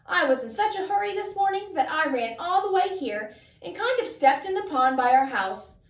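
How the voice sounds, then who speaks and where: Woman doing a fretful schoolboy, high-voiced. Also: very animated, moderate pace, full character voice. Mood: flustered. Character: worried, reluctant schoolboy, prone to groaning. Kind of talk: storybook read-aloud character voice. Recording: echoey room, distant mic.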